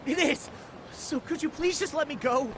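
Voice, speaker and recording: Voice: high-pitched, normal speed, noisy background, lightly acted. An actor playing a frantic, pleading captive lackey in a dramatic video game cutscene.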